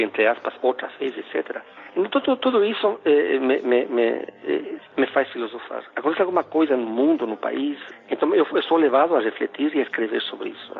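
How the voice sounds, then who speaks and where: A middle-aged man from Ecuador with a Spanish accent, a philosophy professor telling a story in a casual, halting way with many restarts and fillers. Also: medium pitch, vocal fry. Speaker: philosophy professor, podcast guest. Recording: phone-like narrow sound.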